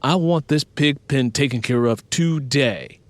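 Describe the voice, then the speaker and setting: A man playing a stern, exasperated father in an audiobook, booming and demanding. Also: unhurried, dry recording, close to an everyday voice. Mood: stern, annoyed. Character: stern, exasperated father scolding his teenage daughter.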